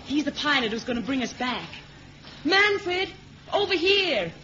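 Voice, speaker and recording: Efficient female agent, highish animated voice, slow delivery, 1970s radio drama, muffled old recording. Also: slight background noise, heavily acted. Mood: eager.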